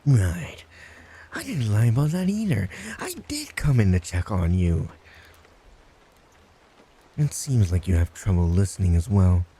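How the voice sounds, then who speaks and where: A creepy, obsessive next-door stalker, acted by a man for a roleplay audio drama. His voice is low and dark, taking its time as he mocks the listener in blunt, halting asides. There is clear noise behind him. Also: very animated. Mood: smug, creepy. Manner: sardonic, halting, breaking into giggles and mutters.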